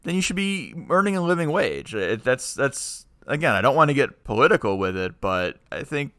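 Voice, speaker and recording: A man's voice: medium pitch, big pitch swings, moderate pace, fairly bright tone, dry recording, studio quality. A man co-hosting a podcast in casual, opinionated chat, speaking emphatically and argumentatively and often digressing. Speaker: podcast co-host.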